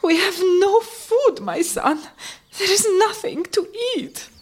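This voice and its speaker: Woman as a high-voiced poor peasant mother. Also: lively intonation, takes it slowly, full character voice. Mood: weary sorrow. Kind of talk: folktale radio play acting. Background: music.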